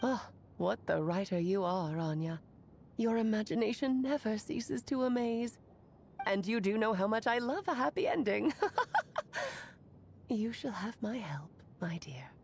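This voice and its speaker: An actress playing a playful, affectionate magical woman in a video game, with theatrical, expressive phrasing. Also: on the high side, unhurried, vocal fry, a little hiss or hum. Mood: amused, fond. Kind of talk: scripted video game voice acting.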